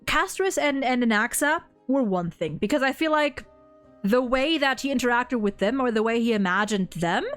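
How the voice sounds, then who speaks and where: A woman's voice: fairly high pitch, lively intonation, normal speed, a bit bright, faint music behind. A woman chatting casually as an enthusiastic fan, effusive and sweary, often stammering over repeated words. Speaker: streamer co-hosting a video game story ranking. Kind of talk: casual animated fan discussion.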